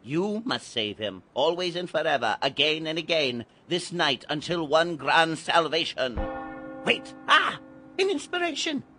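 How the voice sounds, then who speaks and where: An actor playing an excitable, gleefully eerie ringleader in an audio drama, exclaiming and urging in a declamatory way. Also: male voice, fairly high pitch, unhurried, soft background music, full character voice. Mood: urgent, inspired.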